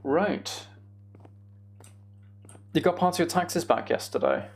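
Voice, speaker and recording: A man's voice: a little high, lively intonation, slow pace, vocal fry. A man livestreaming a game in casual chat, musing aloud and replying to viewers as he goes. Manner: musing aloud, reads and answers viewer comments. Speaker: live streamer.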